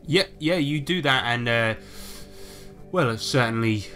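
Guy running a tabletop rpg, medium pitch that moves around a lot, soft music under him. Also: expressive, unhurried. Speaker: game master. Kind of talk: improvised tabletop game narration.

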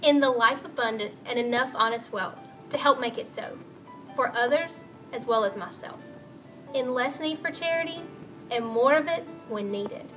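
Bright, high-voiced young woman reciting. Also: normal speed, low-quality recording. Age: young adult. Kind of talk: recited creed, formal reading. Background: soft music.

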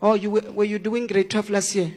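A male pastor questioning a young man and praying over him in slow, commanding words during a church service. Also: high voice, flat delivery, a little mellow. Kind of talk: deliverance prayer at a church service. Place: church hall with an audience. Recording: long hall reverb.

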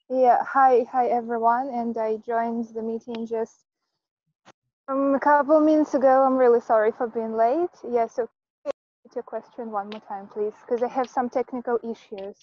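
A woman in her twenties from Russia, a volunteer teacher, talking slowly and hesitantly with many fillers on a video call from outdoors. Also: a little high, fairly even intonation, highs cut off. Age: 20s. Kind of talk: casual video call chat. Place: outdoors among trees. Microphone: earphone mic on a video call.

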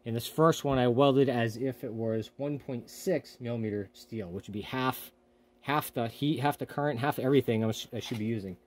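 A man's voice: medium pitch, normal speed, a little hiss or hum. A man talking casually as he welds in his home garage, rambling and correcting himself often. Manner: rambling, self-correcting, offhand. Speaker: hobby welder, channel host. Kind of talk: casual workshop talk.

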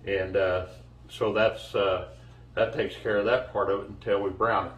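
A man in his sixties giving a casual, halting cooking demonstration as host, recorded from a distance in a home kitchen. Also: lowish voice, steady tone. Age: 60s. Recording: echoey kitchen, distant mic, faint noise.

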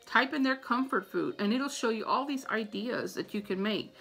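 Creaky-voiced American woman, chatty and informal. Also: a little high, animated, moderate pace, a bit bright. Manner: chatty, informal, repeats points for emphasis. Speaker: vlogger giving household tips. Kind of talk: casual advice vlog to camera. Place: small room at home, indoors. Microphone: phone or tablet camera at about arm's length.

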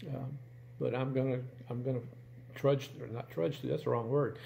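Older man, creaky voice, halting and plain-spoken. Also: medium pitch, fairly even intonation, dark tone, slight background noise. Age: 60s. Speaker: video channel host. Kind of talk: casual talk to camera. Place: indoors in a home room.

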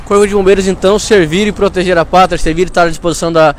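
A man's voice: high voice, fairly even intonation, rapid-fire, slight background noise. A young man with a Brazilian accent reporting and interviewing on location outdoors, speaking into a small mic held close. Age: young adult. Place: outdoors in front of a fire station. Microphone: small handheld clip mic held near the mouth.

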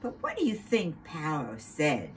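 Low-voiced, animated woman telling children stories. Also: measured pace. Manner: dramatic, addressing children. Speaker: storyteller. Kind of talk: expressive children's storytelling. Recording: noticeable background noise.

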